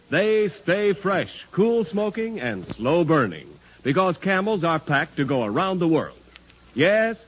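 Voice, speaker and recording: A man's voice: fairly high pitch, a bit bright, breathy edge. A male radio announcer reading a 1940s advertisement with an emphatic, polished salesman's delivery. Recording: dull vintage 1940s radio recording.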